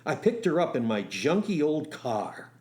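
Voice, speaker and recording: A man playing wry, animated young storyteller, lightish voice. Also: a little high, a little slow. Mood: wry, nostalgic. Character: young male driving instructor turned soldier, eager, wry, romantic. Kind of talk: first-person radio storytelling with acted dialogue.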